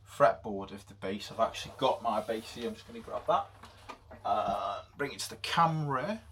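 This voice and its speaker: Deep-voiced man, halting music lesson. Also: a bit bright. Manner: unscripted, halting explanation with many fillers. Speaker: music teacher. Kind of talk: casual music theory lesson.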